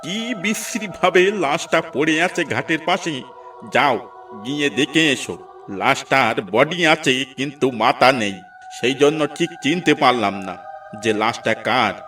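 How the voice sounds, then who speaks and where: A man's voice: medium pitch, expressive, a little slow, pushed and bright. A man voicing a blunt, grumbling older village man in a cartoon. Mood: brusque. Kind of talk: cartoon voice acting. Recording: slightly distant, music behind.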